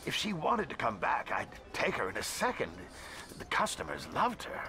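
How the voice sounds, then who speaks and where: An actor voicing a genial, hospitable alien nightclub owner and bartender in a video game. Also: male voice, mid-range voice, wildly swooping pitch, moderate pace, music in the background. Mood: matter-of-fact. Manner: hospitable, salesmanlike. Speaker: bar owner.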